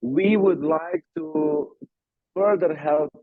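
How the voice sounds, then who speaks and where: A man's voice: on the high side, takes it slowly, no echo. A man from Slovenia answering podcast interview questions, with many fillers and restarts. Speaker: startup founder, guest. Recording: tinny phone-like call audio.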